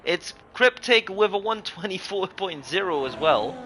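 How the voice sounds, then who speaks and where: A man's voice: high-pitched, lively intonation, unhurried, pushed and bright, a little hiss or hum. A man from Europe giving casual live sim racing commentary as a livestream host, mixing it with jokey, mock-indignant banter.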